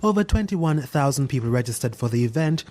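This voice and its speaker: A man with a mid-range voice presents scripted business headlines. His delivery is crisp and measured, in the manner of a newsreader. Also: medium pitch, lively intonation, a little mellow. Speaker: news presenter. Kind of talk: scripted news voice-over.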